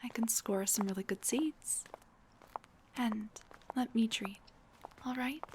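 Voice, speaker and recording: Shy, slow-spoken dullahan girlfriend, acted by a woman. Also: a little high, slight background noise, rough recording. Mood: shy, hopeful.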